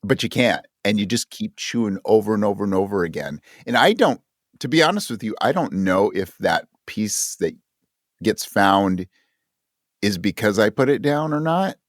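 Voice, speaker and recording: A man being interviewed about his writing, talking in a thoughtful, halting way and voicing his own thoughts as quotes. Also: lowish voice, animated, no echo, studio quality. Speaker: interview guest, audio drama writer.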